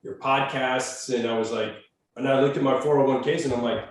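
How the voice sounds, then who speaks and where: A man's voice: medium pitch, steady tone, fairly quick. A man hosting a podcast, talking casually and bluntly, swearing freely. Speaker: podcast host. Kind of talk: casual interview talk. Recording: very echoey, voice far from mic.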